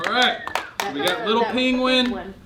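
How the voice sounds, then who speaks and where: A man's voice: sits high, moderate pace. A man telling a storybook to children in a playful, theatrical way, often putting questions to them. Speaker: children's storyteller and church kids' ministry host. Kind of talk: animated storybook reading to a group of children. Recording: noisy, echoey room with clicks.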